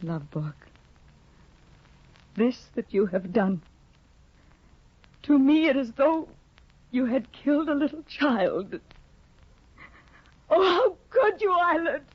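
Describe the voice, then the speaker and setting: A woman's voice: sits high, very animated, slow pace, long pauses, no echo, exaggerated voice. An actress in a 1950s radio play, voicing a devoted young woman who pleads and cries out in heartbreak. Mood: distraught. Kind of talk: 1950s radio drama acting. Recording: dull, dry 1950 radio broadcast.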